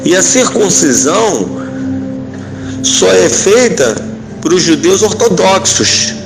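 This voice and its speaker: A man giving informal religious teaching as a rabbi, halting and didactic, often checking that the listener follows. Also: sits high, very animated, music in the background. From Israel. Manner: didactic, halting, often seeks agreement with tags. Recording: clearly noisy, vehicle-like background sound.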